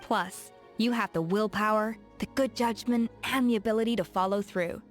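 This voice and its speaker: A woman's voice: mid-range voice, moderate pace, close to an everyday voice. An actress voicing a confident, encouraging woman chef in a video game, with faint music behind. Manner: warm, encouraging and playful. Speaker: game character. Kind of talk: game voice acting.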